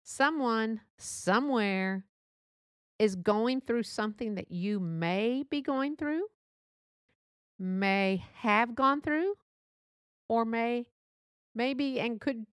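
A woman's voice: fairly high pitch, dry recording, studio quality. A middle-aged woman from Texas with a Texan accent, hosting a podcast in a chatty, rambling, self-deprecating solo monologue. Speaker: podcast host.

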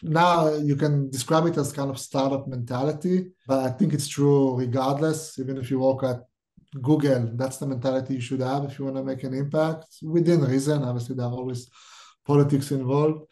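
A middle-aged man with an Israeli accent speaks in a warm, dark, mellow tone. He answers questions directly, thinking aloud and restarting his sentences as he works toward his point. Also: medium pitch, steady tone, fairly quick. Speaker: guest, startup CEO. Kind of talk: podcast interview.

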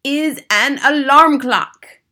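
A woman's voice: fairly high pitch, animated, a little slow, pushed and bright, pitched above the performer's own voice. A woman voicing an imperious witch leader from a storybook, bossy, scornful and relishing every instruction. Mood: scornful, triumphant. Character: imperious, triumphant Grand High Witch, bossy villain. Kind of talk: storybook character read aloud.

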